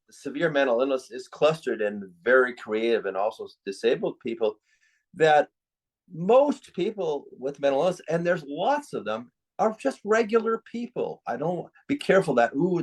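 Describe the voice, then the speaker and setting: A man's voice: mid-range voice, very animated, normal speed, poor audio. A man with an American accent speaking as an expert guest in an interview, explaining his opinions in an earnest, emphatic and opinionated way. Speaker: guest, psychiatrist and author.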